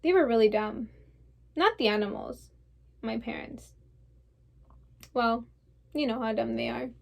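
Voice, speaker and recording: Woman acting a defensive, creaky-voiced young woman. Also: on the high side, unhurried, slight background noise. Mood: agitated, rueful. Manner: insistent, rambling, piling up lists. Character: defensive, wounded young woman, stubborn and intense. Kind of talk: theatrical stage monologue.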